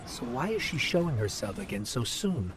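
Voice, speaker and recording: A man's voice: mid-range voice, big pitch swings, normal speed, noisy background, close to an everyday voice. A man voicing a small floating robot companion in a video game, earnest, anxious and chatty. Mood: focused, wary. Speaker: companion guide to the player.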